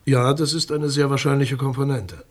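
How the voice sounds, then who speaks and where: A man's voice: medium pitch, steady tone, moderate pace, natural voice. Sober, guarded public prosecutor played by a man. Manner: formal, precise, matter-of-fact officialese. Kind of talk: naturalistic German radio crime drama.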